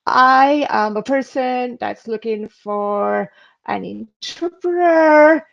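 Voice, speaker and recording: Woman, creaky gravelly voice, dull lo-fi webinar sound, earnest advisory tone. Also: fairly high pitch. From Spain. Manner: earnest, advisory, frequent hesitations. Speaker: interpreter giving a webinar. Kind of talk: instructional webinar talk with screen demo.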